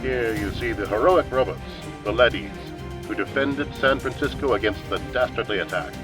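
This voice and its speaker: An actor playing a grave, indignant propaganda newscaster, declaiming pompously in an old-time radio drama. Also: male voice, mid-range voice, moderate pace, natural voice. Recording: distant mic under loud music. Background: music.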